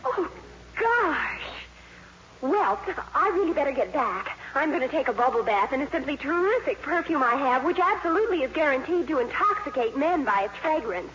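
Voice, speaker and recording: A woman's voice: high-pitched, very animated, normal speed, fairly bright tone. An actress playing a gushing, gloating, breathlessly dramatic teenage girl in a 1950s radio comedy. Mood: amazed, scandalized. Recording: muffled, very noisy old radio broadcast.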